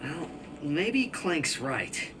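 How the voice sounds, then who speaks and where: A man's voice: on the high side, very animated, extremely slow, very noisy, exaggerated voice. A young man voicing an earnest, loyal and sometimes wry alien hero in a video game. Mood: subdued. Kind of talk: video game cutscene acting.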